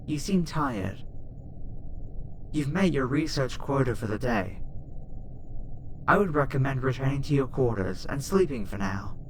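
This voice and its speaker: A woman voicing a caring, calm spaceship AI assistant in an ASMR roleplay, speaking in a formal, measured way. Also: a bass voice, full character voice. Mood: reassuring. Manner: measured, formal, gently reassuring. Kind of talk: ASMR roleplay audio drama. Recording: background noise, ambience.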